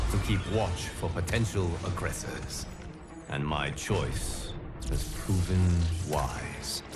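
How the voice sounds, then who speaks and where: A man playing a solemn godlike guardian of timelines, narrating video game cutscenes in a formal, measured, dramatic way. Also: deep voice. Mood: grave, resolute. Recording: loud cinematic music behind. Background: loud music.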